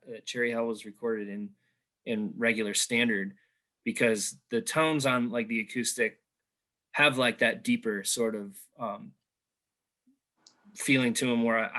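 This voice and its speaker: A man's voice: lowish voice, bright, forceful tone. A man hosting an interview over a video call, talking in a rambling way with many fillers. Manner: talkative, rambling, filler-heavy. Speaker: interviewer, host. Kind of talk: conversational interview.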